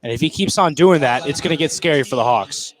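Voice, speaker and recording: A man's voice: a little high, quick pace, poor audio. A man giving casual live color commentary on a basketball game.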